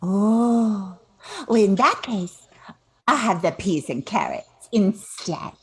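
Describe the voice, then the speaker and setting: A woman's voice: mid-range voice, lively intonation, breathy edge, full character voice. An older woman playing a ditzy, self-satisfied young beauty queen in a comic radio play, preening and drawing out her words. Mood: dithering. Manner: drawn-out, preening. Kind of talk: comic old-time radio play acting.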